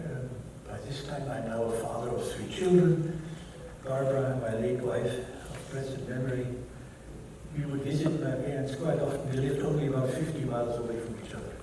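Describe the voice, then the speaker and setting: An older man with a German accent tells his story slowly, quoting other people and correcting himself as he goes. His voice carries through a large hall with a long, booming echo. The mic sits well away from him, and steady noise runs under the speech. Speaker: keynote speaker. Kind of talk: personal testimony lecture. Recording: echoey hall, mic at a distance, background noise.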